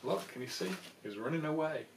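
A man's voice: a little high, fairly quick, background noise. An older man reading a children's story aloud expressively, recorded indoors a little away from the microphone. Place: small room indoors full of soft toys. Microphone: camera a short distance away. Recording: roomy, distant microphone.

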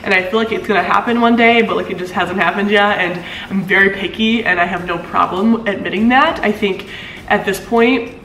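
A woman in her twenties chats casually to her camera, reading out comments and answering them. Her voice is deeper than most women's, and she laughs easily and swoops up in pitch. The sound is roomy, picked up a few feet away in an apartment living room. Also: brisk, fairly bright tone, a little hiss or hum. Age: 20s. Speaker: vlogger. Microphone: camera mic at a few feet. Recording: echoey room.

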